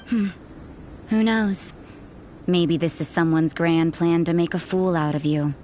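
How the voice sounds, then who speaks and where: A woman's voice: fairly low pitch, fairly even intonation, a little slow, mellow, slight background noise, lightly acted. A woman playing a cool, sardonic, suspicious church sister in a video game, with a dry, cynical, interrogating manner. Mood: dry, taunting.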